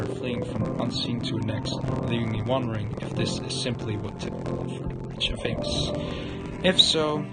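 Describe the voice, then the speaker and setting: A man reads visual novel narration aloud for a livestream, with loud music playing behind him. He goes haltingly, tripping over words as he reads, in a mid-pitched voice. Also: low-quality recording. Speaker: game livestreamer.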